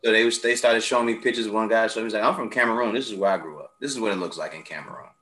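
Low-voiced man, bright and animated. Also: rapid-fire, slight background noise. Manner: animated storyteller, acts out quoted friends. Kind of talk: casual chat, storytelling. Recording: echoey room.

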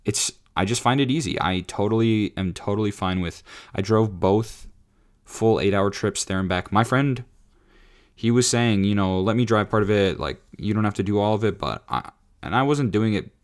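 A man in his twenties hosting his own podcast, rambling casually with lots of fillers and restarts, his voice raspy from singing. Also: fairly low pitch, moderate pace. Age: 20s. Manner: rambling, self-correcting, frequent fillers. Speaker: podcast host. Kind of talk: rambling solo podcast chat.

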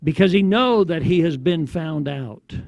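Slow, high-pitched man preaching a vision. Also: expressive, creaky, a little mellow, no echo, highs cut off. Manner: declamatory, slow short phrases with deliberate pauses. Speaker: preacher recounting a prophetic vision. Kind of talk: prophetic sermon narration.

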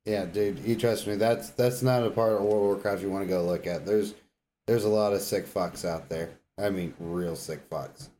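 A man's voice: low-pitched, moderate pace, mellow, slight background noise. A man in casual podcast banter, blunt and slangy, swearing freely. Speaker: podcast co-host. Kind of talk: casual banter about game sounds.

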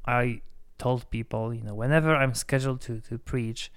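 A man's voice: mid-range voice, fairly even intonation, moderate pace, clean tone, no echo, clean studio sound. A man who is a priest with Polish as his first language, chatting casually on a podcast with lots of fillers and restarts.

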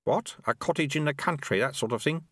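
A man voicing an ancient male monk sweeper who is fawning and sly, in a higher voice than his own. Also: a bit bright, dry recording, studio quality. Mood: dry, knowing. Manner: obsequious, fawning, brisk. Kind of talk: audiobook character voice.

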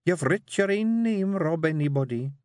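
A man's voice: fairly high pitch, expressive, a little mellow, dry recording, studio quality, pitched above the performer's own voice, close to an everyday voice. Man doing a sly pixie wife. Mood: encouraging. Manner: coaxing, brisk, sly. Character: sharp clever female pixie clan matriarch. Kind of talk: audiobook character voice.

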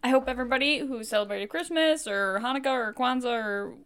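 A woman's voice: a little high, fairly quick, a bit bright. A woman in her twenties co-hosting a podcast, chatting casually and rambling with self-mocking asides that trail off. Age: 20s. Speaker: podcast co-host. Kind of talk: casual chat.

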